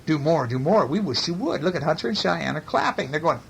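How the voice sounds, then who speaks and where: A man reads a picture book aloud to toddlers with very lively, swooping intonation. He chats with them, asks questions and throws in playful sound effects, on a home recording with faint noise behind him. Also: a little high, a little fast, creaky. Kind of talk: animated picture-book reading to toddlers, chatty.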